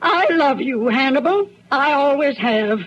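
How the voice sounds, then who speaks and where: A dry, scolding farm wife in a radio western. She talks in a high, folksy rural American voice with no patience for nonsense. The old recording is dull, with faint noise. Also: lively intonation, measured pace. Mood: scolding, determined. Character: sharp-tongued, long-suffering farm wife, no-nonsense.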